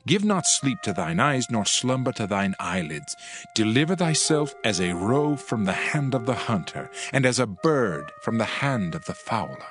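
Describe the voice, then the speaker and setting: A man with a fairly low voice delivers scripture as solemn fatherly counsel. His manner is admonishing, and music is clearly audible beneath the reading. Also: big pitch swings, normal speed. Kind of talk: dramatised scripture reading in archaic English.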